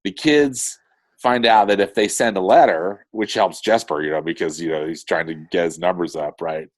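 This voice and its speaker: Earnest man with a lowish voice. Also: dry recording. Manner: earnest, halting, often rephrasing mid-thought. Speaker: podcast co-host reviewing animated films. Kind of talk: casual film discussion.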